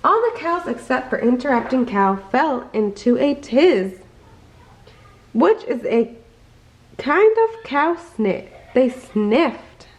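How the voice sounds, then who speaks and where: A woman reading a children's bedtime story aloud as an expressive narrator. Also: sits high, slow pace. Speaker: storybook reader. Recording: echoey room.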